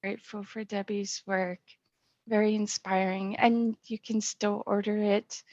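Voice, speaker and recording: A woman's voice: mid-range voice, little pitch movement, unhurried, darkish tone, dry recording. A middle-aged woman, a poet and publisher, reading and speaking at an online poetry event. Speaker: poet and small-press publisher. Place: indoors on a video call. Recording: dull, muffled online call audio.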